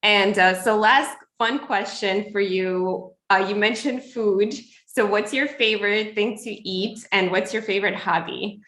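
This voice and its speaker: A woman in her thirties from Ukraine, hosting a podcast interview in a friendly, relaxed way. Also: mid-range voice, unhurried, few pauses, roomy sound. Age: 30s. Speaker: podcast host. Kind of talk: casual interview chat.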